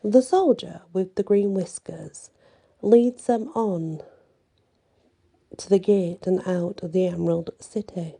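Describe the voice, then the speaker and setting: A woman reading a bedtime story in a soft, breathy ASMR style with an unhurried, halting delivery. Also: medium pitch.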